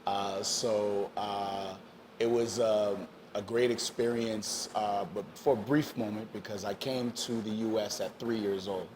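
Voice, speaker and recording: Haitian-born man, low relaxed voice. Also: a little slow, background noise. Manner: relaxed storyteller, many you-knows and ums, mixes in French. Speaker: DJ guest. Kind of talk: casual interview chat.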